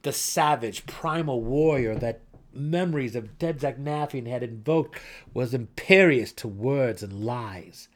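A man's voice: mid-range voice, unhurried. A man reading scripted fantasy narration in a dramatic, intense and theatrical way. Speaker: audiobook narrator.

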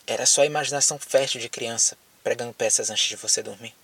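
A man acting in an audio drama as a lonely, frightened apocalypse survivor with a Brazilian accent, speaking haltingly as if on a phone call. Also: mid-range voice, fairly even intonation, gravelly, a bit bright, breathy edge, distant mic. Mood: haunted, reflective. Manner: hesitant, halting, repeats words.

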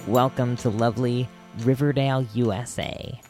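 A man's voice: mid-range voice, fairly even intonation, moderate pace, a little mellow, faint music behind. A man hosting a podcast, giving a casual introduction to an episode. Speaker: podcast host.

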